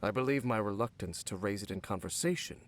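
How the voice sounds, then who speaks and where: An actor playing a brooding, centuries-old cursed former guard captain in a video game, speaking in a formal, measured, solemn way. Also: male voice, on the low side, slight background noise, close to an everyday voice. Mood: grave, guarded. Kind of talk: video game character dialogue. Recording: game audio with background music. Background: game music.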